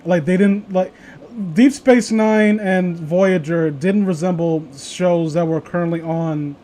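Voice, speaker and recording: A man's voice: sits high, slow, mellow. A man chatting casually as a co-host, rambling and swearing offhandedly. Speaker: co-host of an online read-along chat. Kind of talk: casual chat.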